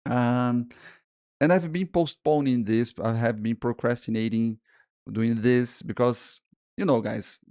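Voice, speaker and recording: Halting non-native man in his forties. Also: mid-range voice, steady tone, darkish tone, clear, resonant tone, dry recording, clean studio sound. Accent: Portuguese-speaking. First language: Portuguese. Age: 40s. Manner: halting, many restarts and repeated words, frequent "okay?" and "guys". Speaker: freelance illustrator and podcast host. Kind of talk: casual solo talk to camera.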